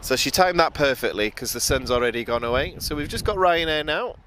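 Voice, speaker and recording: A man's voice: on the high side, lively intonation, a little fast, a bit bright. A man from the UK with a British accent, giving casual, chatty live commentary as the host of a plane-spotting stream outdoors. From United Kingdom. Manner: informal, chatty. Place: outdoors by an airport. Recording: outdoor noise, aircraft and vehicle ambience. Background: outdoor urban and vehicle noise.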